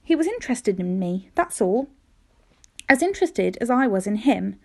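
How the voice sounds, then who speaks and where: A woman reading a children's storybook aloud in an expressive, careful storytelling manner, with dramatic emphasis. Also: medium pitch, moderate pace, vocal fry. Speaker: teacher reading aloud to pupils.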